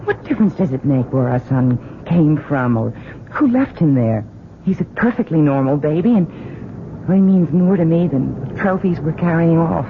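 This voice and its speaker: A woman's voice: low-pitched, expressive, normal speed. An actress playing a tender, determined wife and mother in an old-time radio drama, earnest and emotive. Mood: tender, resolute. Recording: noisy, phone-like old radio recording.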